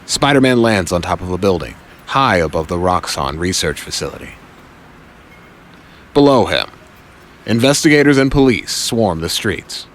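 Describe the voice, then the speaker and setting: A man's voice: low-pitched, very animated, normal speed, fairly bright tone, a little hiss or hum. A man narrating scripted action in a dramatic audio drama style.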